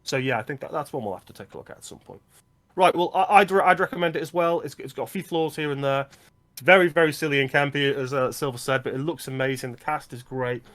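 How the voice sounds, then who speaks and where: A man's voice: medium pitch, fast talker, fairly bright tone. A man co-hosting a film podcast, talking casually and with enthusiasm about movies he likes. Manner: enthusiastic, recommending.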